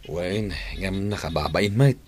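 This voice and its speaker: A man's voice: deep voice, expressive, takes it slowly, darkish tone, a little hiss or hum. A man playing a cautious, shy household helper in an Ilocano radio drama, with an Ilocano accent. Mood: hesitant.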